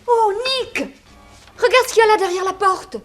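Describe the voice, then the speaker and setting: An actress playing a sharp, assertive young female detective's assistant in a French radio crime drama, speaking emphatically and with careful articulation. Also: extremely high pitch, moderate pace, faint music behind. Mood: alert.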